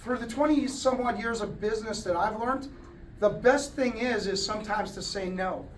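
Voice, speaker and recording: A man's voice: high-pitched, normal speed, slight background noise. A man who runs a contracting business, giving a plainspoken speech at a company party with many fillers and restarts. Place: indoor event hall. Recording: distant mic in a crowded hall.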